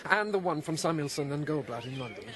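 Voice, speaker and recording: A man's voice: a little high, lively intonation, fast talker, very noisy, natural voice. A man playing a careful, deferential servant addressing his master in a period radio drama. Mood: apologetic, dutiful.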